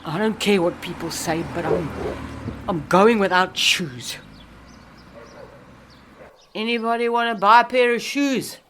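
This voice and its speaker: An actress plays an ageing South African woman who is down and out. Her speech is slow and rambling, full of muttering to herself that breaks into feisty bursts. It is a lonely, defiant monologue from a radio play. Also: mid-range voice, slight background noise, lightly acted. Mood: grumbling, defiant.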